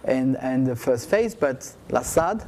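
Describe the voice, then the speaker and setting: A man speaking slowly and reflectively, with frequent fillers and halting, non-native phrasing. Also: medium pitch, animated, dark tone. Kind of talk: reflective speech.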